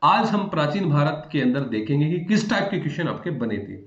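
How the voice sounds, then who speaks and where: A man's voice: fairly high pitch, expressive, a little fast. A man teaching in a conversational lecture style, repeating phrases and addressing his students directly. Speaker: history teacher for exam aspirants. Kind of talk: explanatory online lecture in Hindi with English terms. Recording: echoey room, dull muffled audio.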